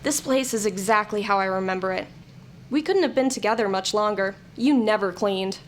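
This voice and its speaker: A woman's voice: medium pitch, moderate pace, slight background noise, close to an everyday voice. A woman playing a jaded, blunt, sardonic character in an audio comedy-drama, with a dry delivery.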